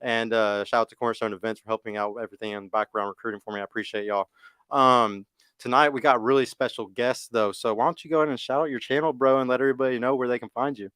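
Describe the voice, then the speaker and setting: A man's voice: medium pitch, fairly even intonation, a bit bright, clear, resonant tone, dry recording. A man hosting a casual dating podcast, hyped up and cursing freely. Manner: brisk, hype, swears freely. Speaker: dating show host.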